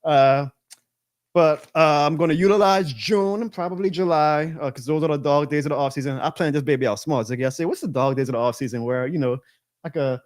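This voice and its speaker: This is a man in his thirties hosting a live sports stream, with a voice pitched a little high for a man. He rants with energy and laughs often. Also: a little fast. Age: 30s. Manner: animated ranting, laughing often. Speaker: show host.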